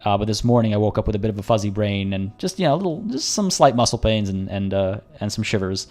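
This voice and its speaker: A man streaming a video game, chatting casually with viewers in a rambling way, and slightly hoarse, as if losing his voice. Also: fairly low pitch, animated, fast, darkish tone. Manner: rambling and chatty, frequent restarts. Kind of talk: casual livestream commentary.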